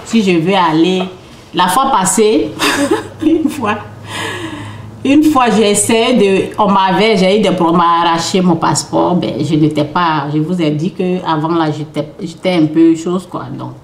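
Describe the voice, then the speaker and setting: A woman's voice: fairly low pitch, unhurried. A woman from Côte d'Ivoire gives an informal spiritual talk as an author. She speaks off the cuff, restarts phrases and keeps checking in with her listeners. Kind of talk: informal spiritual teaching to an audience. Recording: roomy echo.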